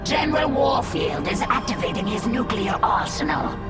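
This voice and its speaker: A woman's voice: mid-range voice, big pitch swings, normal speed, natural voice. A woman voicing a fierce, loyal alien brood leader in a video game, formal and declamatory. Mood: urgent. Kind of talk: scripted video game character dialogue. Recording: music behind the voice.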